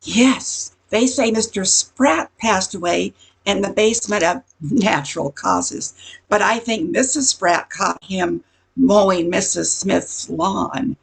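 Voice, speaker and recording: An older woman playing a nosy, gossiping neighborhood busybody in an amateur radio comedy, chatty and insinuating with a husky, creaky voice. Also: medium pitch, slow, heavily acted. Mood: confiding, insinuating.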